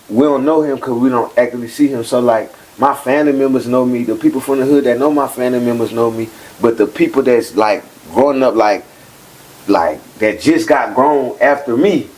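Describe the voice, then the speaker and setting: A man's voice: medium pitch, moderate pace, darkish tone, poor audio. A man in his thirties from New Orleans, talking candidly and loosely as a guest in a casual interview. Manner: laid-back, candid, rambling with repeated "like". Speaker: guest rapper.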